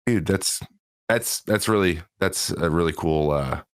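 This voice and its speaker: A man with a very deep, low voice hosts a relaxed podcast conversation. He rambles easily, restarting his sentences as he goes. The recording is close and dry, with no room sound. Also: unhurried, no room sound. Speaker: podcast host. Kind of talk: casual podcast chat. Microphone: close studio microphone.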